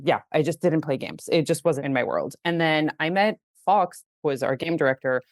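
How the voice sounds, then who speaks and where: A woman's voice: low voice, expressive, normal speed, dry recording. A woman in her thirties from Greece, a game studio head talking casually on a podcast, chatty and laughing easily. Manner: chatty, laughs easily, many "like"s and "you know"s. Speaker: game studio CEO, guest.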